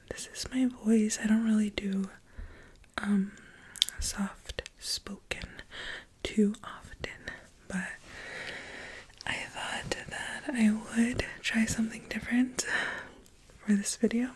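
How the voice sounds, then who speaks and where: A thirty-year-old woman speaking softly and intimately, close to the mic, in a very breathy, airy voice. She talks slowly and rambles, with giggles here and there. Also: mid-range voice, low-quality recording. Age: 30s. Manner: rambling, soft-spoken, giggly. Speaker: ASMR creator. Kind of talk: soft-spoken ASMR chat.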